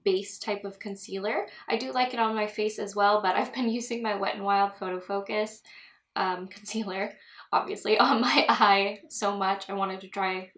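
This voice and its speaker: A woman's voice: mid-range voice, fairly even intonation, moderate pace, a bit bright. Giggly young woman with a stuffy cold. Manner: chatty, giggly, rambling asides. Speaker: beauty vlogger. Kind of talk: makeup tutorial chat. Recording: echoey room.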